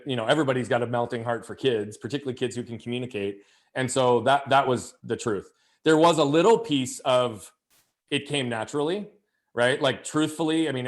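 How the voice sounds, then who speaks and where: Brief: man, 30s, podcast guest, mid-pitch voice, energetic and candid, lots of restarts. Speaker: podcast guest, speaker and coach. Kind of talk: conversational interview storytelling.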